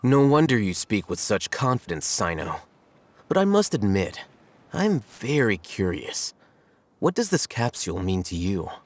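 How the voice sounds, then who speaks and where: An actor playing a composed, sardonic scholar in a video game, speaking in a measured, articulate, wry way. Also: male voice, fairly low pitch, big pitch swings. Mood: cool, probing. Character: composed, sardonic male scholar, coolly analytical. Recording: studio voice over background music.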